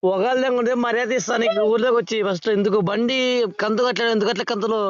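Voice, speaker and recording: A man's voice: high-pitched, steady tone, rapid-fire, dry recording, natural voice. A man playing a pushy, threatening vehicle-finance debt collector on a prank phone call, badgering and repeating demands. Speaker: prank caller.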